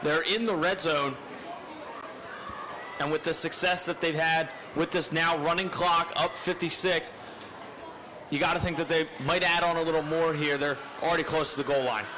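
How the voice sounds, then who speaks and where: A man giving chatty, admiring color commentary on a high school football game. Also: steady tone, normal speed. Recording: noisy live stream with crowd.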